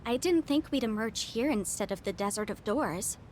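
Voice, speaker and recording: An actress voicing a calm, thoughtful young woman in a video game who reasons out loud in a measured way. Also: on the high side, a little hiss or hum, close to an everyday voice. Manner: measured, analytical speech.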